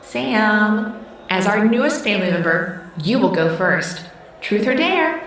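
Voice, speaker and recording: A woman's voice: mid-range voice, lively intonation, fairly bright tone. Woman doing controlling kid-like smart house ai, formal n cold, super echoey, kinda far off, audio drama. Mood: menacing. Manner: formal, measured, coldly commanding. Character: controlling childlike female smart-house artificial intelligence. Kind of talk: scripted audio drama acting. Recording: roomy echo, distant, faint noise.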